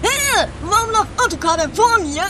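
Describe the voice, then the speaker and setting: A woman's voice: very high voice, sing-song, a little fast, noisy background. A woman playing a dim, eager, flustered tagalong in an amateur absurd comedy radio play. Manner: naive, flustered, exclaiming.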